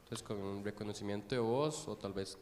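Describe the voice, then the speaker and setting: Low-voiced man asking a question. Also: a little fast, darkish tone, slight background noise. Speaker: audience member asking a question. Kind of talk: audience question.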